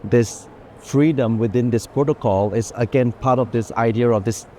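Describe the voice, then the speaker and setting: A man's voice: mid-range voice, normal speed, mellow, a little hiss or hum. A man who collects art, thinking aloud about artworks on a walking tour, reflective and loose. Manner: reflective, thinking aloud, frequent "you know". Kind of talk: walking gallery conversation. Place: art fair booths.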